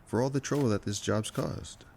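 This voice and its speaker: A man's voice: mid-range voice, moderate pace, creaky, darkish tone, slight background noise, natural voice. A man acting a guarded, restless and loyal operative with a wry manner in an audio drama.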